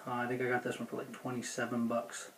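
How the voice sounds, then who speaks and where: A man's voice: medium pitch, monotone, fast talker, fairly bright tone, a little hiss or hum. A man giving a casual, unscripted product review in a plainspoken, folksy, rambling way. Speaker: gear review video host.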